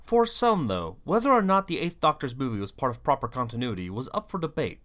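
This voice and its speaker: Mid-pitched voice, highly varied intonation, scripted explainer narration, emphatic, theatrical, wry asides. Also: big pitch swings, brisk, a bit bright. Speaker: video essay host.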